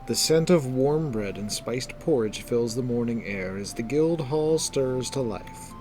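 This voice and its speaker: A man narrating a scripted fantasy story in a measured, descriptive storytelling manner. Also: medium pitch, lively intonation, a little mellow, faint music behind.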